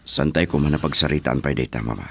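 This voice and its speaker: A man with a Filipino accent playing a remorseful, pleading ex-convict son in an Ilocano radio drama, with a gravelly voice. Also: rumbling low, fast talker, full character voice. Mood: contrite pleading. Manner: pleading, earnest.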